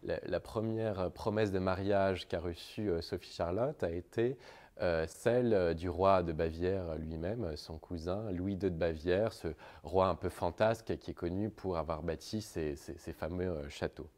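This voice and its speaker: Low-voiced young man, halting explanatory delivery. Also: darkish tone. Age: young adult. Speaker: presenter, archive guide. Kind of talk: semi-scripted historical presentation to camera. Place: large indoor historic library.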